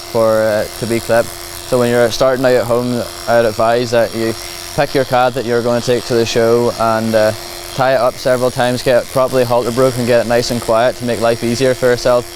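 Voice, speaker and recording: A man with a Northern Irish accent giving a plain, practical, reassuring explanation as he commentates on a hands-on demonstration. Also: mid-range voice. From Northern Ireland. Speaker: livestock clipping demonstrator. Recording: clear buzzing clipper and machinery noise.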